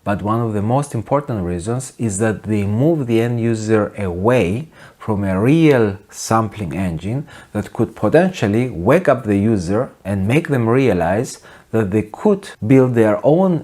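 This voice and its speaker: Wry, deadpan man talking to camera. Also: fairly low pitch, a little slow. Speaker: host of a music production video channel. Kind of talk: opinionated video essay to camera. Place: small home studio, indoors.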